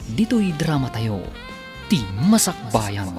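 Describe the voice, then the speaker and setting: A man's voice: medium pitch, big pitch swings, slow pace. Ilocano guy announcing a radio serial, big swoopy delivery, music playing under him. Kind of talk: dramatic scripted announcing.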